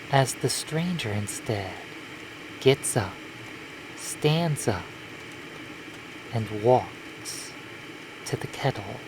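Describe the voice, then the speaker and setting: A narrator telling a second-person hypnosis story in a measured, halting way, in short phrases with long pauses. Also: medium pitch, noisy background.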